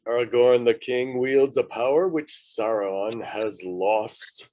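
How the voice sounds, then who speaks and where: A man playing a grave old wizard in a table read, declaiming slowly and solemnly. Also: mid-range voice, mellow, no room sound, dull audio, natural voice. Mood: solemn challenge.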